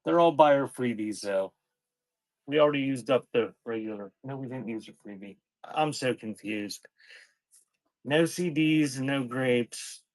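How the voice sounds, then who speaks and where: A man with a medium-pitched voice hosts a casual live selling stream. He talks in a loose, scattered way, thinking aloud as he goes. The sound is dry and close, with very little room in it. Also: a little slow, no room sound, low-quality recording. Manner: rambling, scattered, thinking out loud. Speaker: live-stream seller hosting a sale and unboxing.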